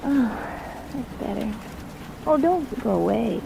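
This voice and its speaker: Creaky-voiced, flirtatious 1940s femme fatale. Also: female voice, takes it slowly, heavily acted. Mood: languid. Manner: coy, purring, teasing. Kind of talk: 1940s radio noir acting. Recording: noisy old radio recording. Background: steady rain and train rumble.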